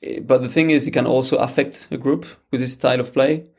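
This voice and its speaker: A man's voice: medium pitch, fairly even intonation, fast talker. A man with a French accent, a guest pundit talking football on a podcast, hesitant with many fillers and restarts. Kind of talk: casual podcast chat.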